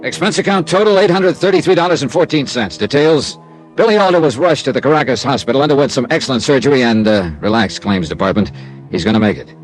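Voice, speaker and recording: Male actor as hard-boiled American insurance sleuth: wry, brisk, very expressive, old-time radio sound. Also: mid-range voice, faint music behind, lightly acted. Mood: brisk narration. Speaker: lead actor of a radio detective series. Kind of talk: 1950s radio drama acting and first-person narration. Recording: vintage radio recording, thin telephone-like band.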